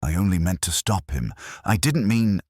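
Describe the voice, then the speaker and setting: Cornered widow read by a man for audiobook mystery: higher-pitched than the narration, frantic, pleading, fiery. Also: sing-song, normal speed, darkish tone, husky, dry recording, studio quality, heavily acted. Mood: desperate fury. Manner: pleading, crying out. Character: frightened, desperate widow, fiery when cornered.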